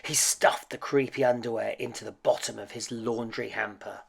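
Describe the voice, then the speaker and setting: A man reading a children's picture book aloud in a dramatic, expressive way. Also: medium pitch, normal speed, creaky, slightly rough. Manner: dramatic, expressive, with hushed suspenseful dips. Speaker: children's story reader.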